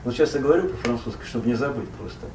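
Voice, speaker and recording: A man from Russia, a poet and translator, recalls his past as a guest in a relaxed, rambling conversation full of fillers and self-corrections. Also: mid-range voice, rapid-fire, roomy sound, far from the mic, noisy background.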